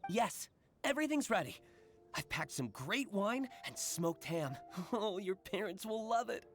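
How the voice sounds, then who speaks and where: A woman as an easygoing mid-pitched townsperson. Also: animated, measured pace, lightly acted. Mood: pleased. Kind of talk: English video game voice acting. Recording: music behind the voice.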